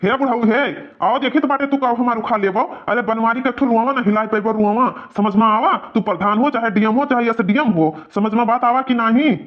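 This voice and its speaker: Guy doing a really high pitched cartoon village man, awadhi accent, bossy n scolding, dull lofi sound. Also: brisk, hardly any pauses. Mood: defiant. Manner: blustering, scolding. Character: blustering, quarrelsome village men of a feuding family. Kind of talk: exaggerated cartoon comedy dialogue.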